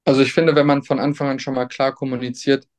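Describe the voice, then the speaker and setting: A man's voice: mid-range voice, flat delivery, fast. Hesitant young man, thinking aloud. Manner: hesitant, many fillers and restarts, thoughtful. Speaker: substitute teacher, guest. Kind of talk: casual interview answers.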